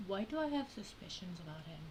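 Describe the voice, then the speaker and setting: A woman's voice: lowish voice, big pitch swings, quick pace, background noise. A woman game streamer giving casual playthrough commentary with wry, teasing asides.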